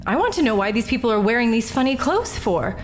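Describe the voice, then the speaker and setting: A woman's voice: fairly high pitch, sing-song, fast, slight background noise. An actress playing a brash, defensive young woman questioned at an inquest, talking in breezy slang in a radio drama. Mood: defiant.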